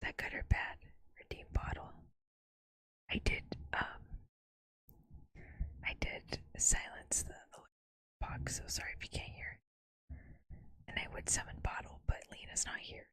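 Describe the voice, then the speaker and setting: A livestreamer whispering playfully into a close microphone, making soft mouth sounds for an ASMR stream. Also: unhurried, long pauses, dry recording, rough recording.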